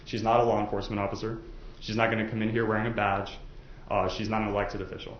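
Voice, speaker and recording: Low-voiced man in an echoey room. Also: flat delivery, fast. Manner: polite, conversational, hesitant phrasing. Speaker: defence lawyer questioning jurors. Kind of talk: informal courtroom questioning. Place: indoor courtroom. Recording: echoey, dull courtroom audio, faint noise.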